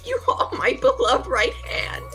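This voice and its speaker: A woman's voice: sits high, very animated, measured pace. A woman joking around in casual gaming commentary with a playful, theatrical delivery. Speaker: video game playthrough participant. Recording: loud game music behind voice. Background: video game music.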